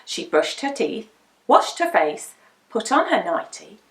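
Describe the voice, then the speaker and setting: A woman reading a children's picture book aloud as a storyteller, with dramatic, deliberate emphasis. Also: fairly high pitch, sing-song. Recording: roomy indoor echo.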